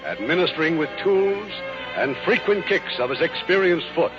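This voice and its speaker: Male radio theater host, 1950s narration, slightly high animated voice, music behind, muffled vintage broadcast. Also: normal speed. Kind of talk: 1950s scripted dramatic narration. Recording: dull old radio broadcast.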